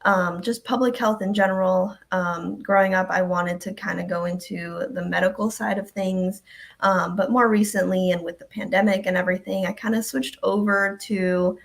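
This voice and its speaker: A young woman from Ecuador talks casually as a guest, filling her sentences with ums and likes. Her voice sits at a middling pitch with a gravelly fry at the ends of phrases. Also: fairly even intonation, moderate pace. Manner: chatty, many ums and likes. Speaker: guest, health department coordinator. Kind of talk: casual live-stream chat.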